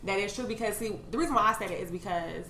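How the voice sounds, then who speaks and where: A young woman hosts a podcast in a quick, candid and freely swearing way. She is recorded in a roomy, echoing space with faint ambient noise behind her. Her pitch sits in the middle range. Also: a bit bright. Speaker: podcast host. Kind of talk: casual chat.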